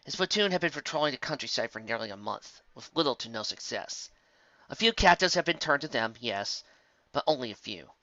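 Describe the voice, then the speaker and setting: A man's voice: medium pitch, pushed and bright, no echo, dull audio. A man narrating a fantasy story in a dramatic, scripted audiobook style.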